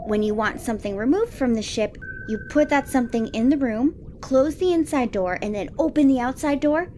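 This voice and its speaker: Know-it-all little boy, earnest, highish pitch, explanatory, faint noise, comic audio play. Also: expressive, brisk. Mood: eager explaining.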